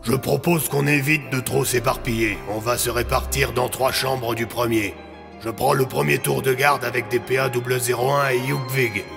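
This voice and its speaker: A man playing a decisive army colonel in an audio drama, gruff and curt, giving slangy orders. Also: mid-range voice, steady tone, moderate pace, over music, natural voice. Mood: matter-of-fact.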